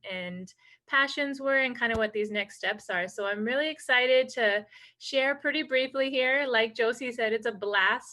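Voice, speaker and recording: A woman from North Dakota whose first language is Hidatsa presents informally online. Her voice sits fairly high and is very bright and forward. She sounds enthusiastic and informal, like a program director greeting students. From North Dakota, USA. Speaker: program director, guest speaker.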